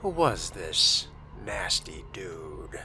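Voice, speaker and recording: A man voicing an aloof, curt fledgling vampire in a measured, dry manner for a video game role-play. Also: sits low, big pitch swings, pushed and bright, a little hiss or hum, full character voice.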